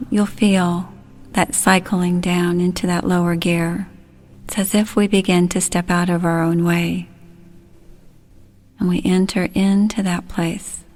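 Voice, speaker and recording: Slow, low-pitched woman guiding relaxation. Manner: soothing, gently instructing in short unhurried phrases. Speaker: guided relaxation facilitator. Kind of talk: guided relaxation meditation.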